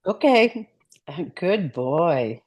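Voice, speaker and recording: A woman's voice: on the low side, sing-song, extremely slow, darkish tone, exaggerated voice. A woman acting a mother in her early seventies, hesitant and pleading, in a script table read. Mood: affectionate. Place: video call.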